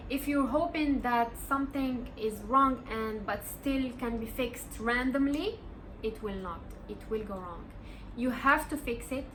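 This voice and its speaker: A woman in her twenties talks through life lessons in a slow, deliberate vlog delivery. Her voice is bright and sits a little high. She sounds earnest and encouraging, and a faint room ambience hangs behind her. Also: a little hiss or hum, rough recording. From Morocco. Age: 20s. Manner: earnest, encouraging, emphatic repeats. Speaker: vlogger. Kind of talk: casual motivational vlog.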